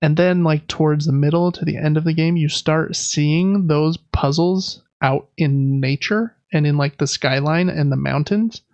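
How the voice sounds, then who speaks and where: A man's voice: a little high, measured pace, hardly any pauses, darkish tone, dry recording, muffled recording. A man co-hosting a podcast, chatting casually about video games in a rambling, enthusiastic, informal way. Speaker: podcast co-host.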